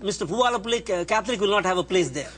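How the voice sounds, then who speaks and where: A middle-aged man with a Sri Lankan accent in a heated panel debate, speaking haltingly and repeating words. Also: high voice, animated, fairly bright tone, husky. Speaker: panel guest. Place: TV studio round table. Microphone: lapel.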